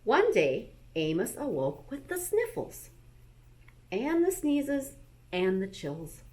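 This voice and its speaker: Slow-paced woman reading a bedtime story. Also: fairly high pitch, wildly swooping pitch, dark tone. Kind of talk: expressive read-aloud storytelling for young children.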